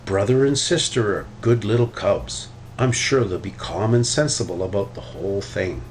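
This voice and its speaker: A man's voice: lowish voice, moderate pace, a little hiss or hum, natural voice. A man voicing a cheerful, easygoing father bear in a children's storybook. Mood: breezy.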